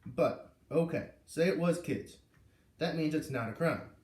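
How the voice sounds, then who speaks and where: A man's voice: fairly high pitch, unhurried, darkish tone, lightly acted. A man reading the part of a grumpy, blunt construction foreman in a storybook. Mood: argumentative. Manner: gruff, blunt, argumentative. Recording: echoey room, far from the mic.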